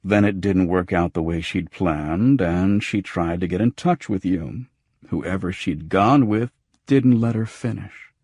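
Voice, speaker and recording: Man as a blunt, husky, cynical police lieutenant. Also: low-pitched, a little slow, darkish tone, dry recording, clean studio sound. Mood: flatly explaining. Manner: blunt, dismissive, matter-of-fact.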